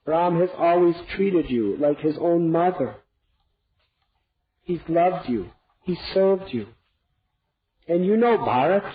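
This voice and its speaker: A man voicing a grief-stricken old king who pleads desperately, his voice rising high, on a dull lo-fi recording. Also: animated, takes it slowly, long pauses, slight background noise. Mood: grieving reproach. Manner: anguished, pleading, raises voice high.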